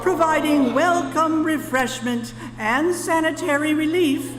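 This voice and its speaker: A woman's voice: sits high, a little slow. A woman in a live radio play playing a condescending, self-important dignitary delivering a declamatory ceremonial speech. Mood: pompous. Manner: pompous, declamatory. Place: live performance in a hall. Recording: echoey hall, music behind.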